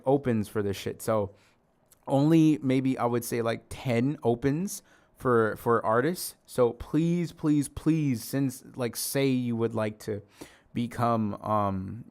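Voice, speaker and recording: Rambling hoarse male streamer, gravelly. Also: mid-range voice. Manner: loud, rambling, profane, jokey. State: losing his voice. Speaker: livestreamer. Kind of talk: casual stream chat to viewers.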